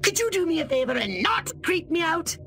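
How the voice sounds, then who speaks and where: Skittish informant, video game, exasperated, sharp, wildly swooping voice, faint noise behind. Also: female voice, very high voice, very animated, fairly quick, vocal fry, full character voice. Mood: flustered.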